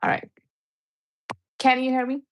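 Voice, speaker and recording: A woman's voice: high voice, monotone, unhurried, slight background noise. A woman guest on a video call, talking reflectively and casually, with many fillers. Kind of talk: casual conversation on a live stream.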